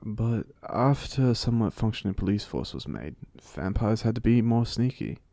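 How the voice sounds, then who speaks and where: Man playing a gravelly, theatrical British vampire. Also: lowish voice, big pitch swings, moderate pace, mellow, no room sound, studio quality. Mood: wry, confiding. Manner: theatrical, genteel, jokey, conversational. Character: playful, chatty 127-year-old male vampire being interviewed. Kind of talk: ASMR audio roleplay.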